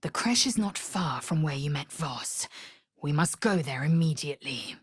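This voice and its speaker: A woman playing a proud, fierce githyanki warrior in a video game, with a husky, raspy voice and a clipped, formal, commanding way of talking. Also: low-pitched, expressive, normal speed, dry recording, studio quality. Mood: stern, resolute. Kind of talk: video game character voice lines.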